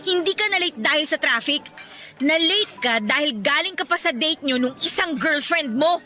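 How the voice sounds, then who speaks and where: A high, bright-voiced fed-up young girlfriend. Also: expressive, normal speed, soft background music. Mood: accusing, annoyed. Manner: accusing, sarcastic, emphatic. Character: fed-up, jealous young woman confronting her secret boyfriend. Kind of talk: Tagalog radio drama acting.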